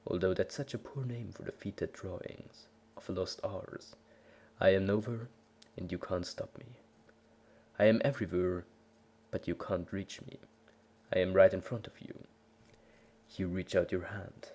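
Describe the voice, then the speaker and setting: A man giving an over-the-top, bombastic dramatic reading of a story as an amateur narrator. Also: deep voice. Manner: theatrical, bombastic, swinging from whispers to shouts. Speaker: amateur reader of fan fiction.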